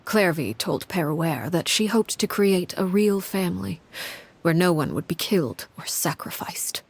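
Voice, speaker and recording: A woman voice actor playing a composed, cold, commanding woman who narrates a grim past in a game, in a measured, grave, articulate manner. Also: on the low side, lively intonation, slightly rough, full character voice. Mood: grave, restrained. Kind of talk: scripted video game story narration.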